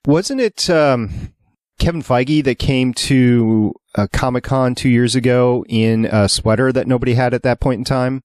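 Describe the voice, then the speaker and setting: Man, podcast host, medium pitch, casual chat, agreeable, easygoing, dry recording. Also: unhurried, darkish tone, dry recording. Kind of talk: casual chat, moderating segments.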